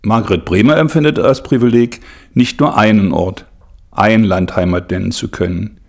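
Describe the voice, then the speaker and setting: Low gravelly male narrator. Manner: measured, formal delivery. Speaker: documentary narrator. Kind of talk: scripted narration.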